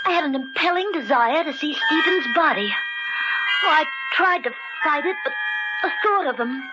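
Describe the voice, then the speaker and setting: A woman's voice: sits high, expressive, moderate pace. An actress playing a guilt-ridden, frightened woman murderer in 1940s radio thriller style, breathless and melodramatic. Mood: haunted, anxious. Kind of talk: 1940s radio thriller acting, first-person narration. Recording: muffled old radio recording, loud music behind.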